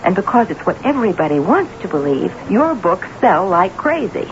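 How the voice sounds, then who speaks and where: A woman's voice: mid-range voice, very animated, normal speed, dull audio, a little hiss or hum. An actress playing a sardonic, scheming woman talent manager in a radio drama, with a pointed, biting and theatrical delivery. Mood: scornful. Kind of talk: radio drama acting and first-person narration. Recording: band-limited vintage radio broadcast with music.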